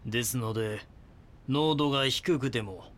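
An actor playing a self-assured cult guru villain in an audio drama, pontificating with assurance. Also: male voice, mid-range voice, a little slow, close to an everyday voice.

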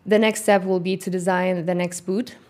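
A middle-aged woman with a non-native English accent, a company founder in a casual interview, talking thoughtfully with many pauses. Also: on the low side, little pitch movement, a little mellow. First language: not English; grew up in Kazakhstan, speaks Russian. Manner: thoughtful, frequent "um" pauses, light laughter. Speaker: guest, founder of a workwear boot company.

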